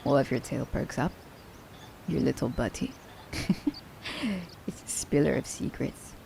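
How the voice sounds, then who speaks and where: A woman playing a teasing, worldly female assassin in an ASMR roleplay, with a gravelly, mellow voice and a playful, unhurried delivery. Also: a bass voice, a little hiss or hum, exaggerated voice. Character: teasing, worldly female assassin fond of her werewolf captive.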